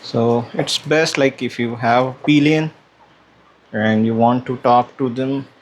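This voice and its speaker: A man's voice: mid-range voice, slow pace. A man giving a casual, hesitant unboxing commentary, thinking aloud as he goes. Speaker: vlogger unboxing a product. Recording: clear background noise, room ambience.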